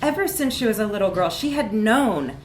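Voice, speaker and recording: A woman's voice: mid-range voice, expressive, normal speed, reverberant, a little hiss or hum. A woman reads a comic short story aloud at a live event, with wry, emphatic narration. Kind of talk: animated literary narration, live reading.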